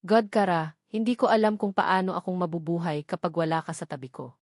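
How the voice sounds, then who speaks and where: A woman's voice: lowish voice, fairly quick, no room sound, clean studio sound, natural voice. A woman voicing an anxious, lovesick husband in an audio romance novel, pleading earnestly and sorrowfully with his wife.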